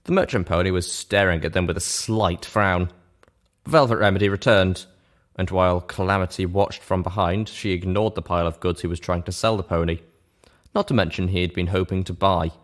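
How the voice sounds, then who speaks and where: A man's voice: sits low, brisk. A man reading a first-person story aloud as scripted narration. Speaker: narrator, reader of fan fiction.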